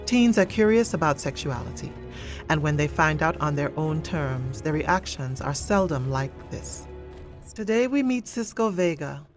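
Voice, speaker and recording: A woman narrator delivering scripted dramatic narration. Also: low-pitched.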